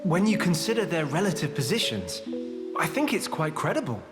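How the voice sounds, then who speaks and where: An actor playing an earnest, thoughtful young adventurer in a video game cutscene. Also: male voice, on the high side, very animated, a little fast, music in the background. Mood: earnest, reasoning.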